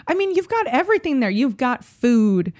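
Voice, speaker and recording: A woman from Chicago co-hosting a podcast, bubbly and quick to laugh. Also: high-pitched, very animated, a little mellow, dry recording. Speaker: podcast co-host. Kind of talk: casual comedic chat.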